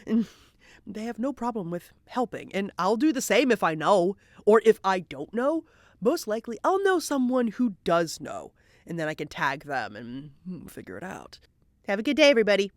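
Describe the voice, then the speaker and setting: A woman's voice: mid-range voice, very animated, normal speed, no room sound, clean studio sound. A woman giving a casual, encouraging tutorial to viewers as a voice actor, demonstrating as she explains. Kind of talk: casual instructional talk to viewers.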